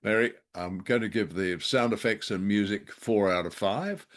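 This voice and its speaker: A man's voice: lowish voice, normal speed. A man co-hosting a podcast, musing and a little hesitant as he casually reviews radio dramas.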